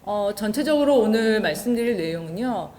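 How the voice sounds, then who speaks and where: A woman's voice: medium pitch, animated, fairly quick, darkish tone. A woman, a research professor, giving a formal, explanatory presentation of a university programme. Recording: faint background noise and ambience.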